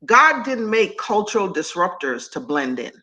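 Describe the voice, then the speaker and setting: A middle-aged woman from Antigua with a deep voice, talking as a guest in an interview in an animated, emphatic way. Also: moderate pace. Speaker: guest speaker and coach. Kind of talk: conversational interview.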